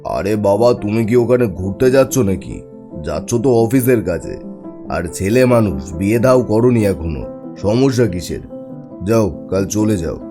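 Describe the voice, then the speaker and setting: Senior office boss in animation; lowish voice, commanding yet coaxing, music bed. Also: male voice, animated, unhurried. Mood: dismissive. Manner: authoritative, coaxing. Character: authoritative, brisk older male office boss. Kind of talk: acted cartoon dialogue. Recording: music behind the voice.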